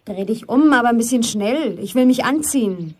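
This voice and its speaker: An actress playing a sharp-tongued, indignant young woman in a German radio play, scolding in colloquial speech. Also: fairly high pitch, lively intonation, normal speed, breathy edge. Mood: bossy.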